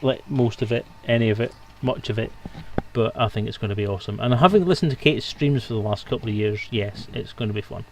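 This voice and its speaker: A man's voice: mid-range voice, quick pace, few pauses, a little mellow, slight background noise. A man hosting a live stream in casual, bantering, sweary chat. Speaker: stream host.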